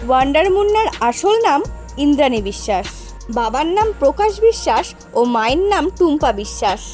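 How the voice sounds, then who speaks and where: A woman narrates a scripted video as its host. Her voice sits very high and moves with animated intonation as she addresses viewers directly. Music plays faintly behind her. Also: brisk. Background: background music.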